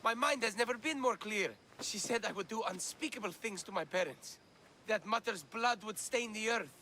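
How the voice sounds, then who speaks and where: A man's voice: high voice, normal speed, sharp tone, slight background noise. An actor playing a deluded, pompous young man of ancient Greece in a video game, melodramatic and self-important. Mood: grave, pompous. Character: pompous, deluded young son, mock-ferocious, prophecy-obsessed. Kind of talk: video game cutscene acting.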